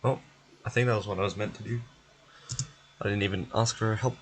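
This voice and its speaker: A man's voice: on the low side, fairly even intonation, a little fast, slight background noise. A man streaming a game, muttering casually to himself as he plays. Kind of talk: casual muttering while playing.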